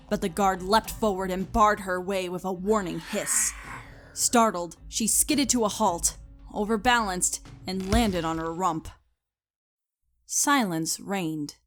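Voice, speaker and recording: A woman's voice: mid-range voice, measured pace. A woman reading scripted story narration as the narrator. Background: music.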